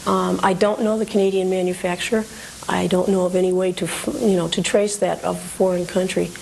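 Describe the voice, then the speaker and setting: Woman explaining collectibles, gravelly creak, hissy recording. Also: lowish voice, little pitch movement, a little fast, a little mellow, poor audio. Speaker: interview guest, collectibles store owner. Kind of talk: conversational interview, explaining collectibles. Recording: hissy 1980s cable TV recording.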